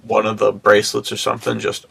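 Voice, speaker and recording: A man's voice: lowish voice, monotone, quick pace, gravelly, bright, forceful tone, husky. A man co-hosting a podcast with jokey, slangy, casual banter. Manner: jokey, slangy, often chuckling. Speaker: podcast co-host.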